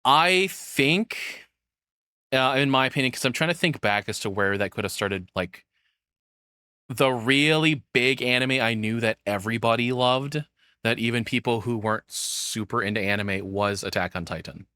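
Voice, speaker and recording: A man's voice: mid-range voice, moderate pace, sharp tone, clear, resonant tone, no room sound, studio quality. A man giving casual, opinionated reaction commentary as a streamer, rambling bluntly and swearing freely.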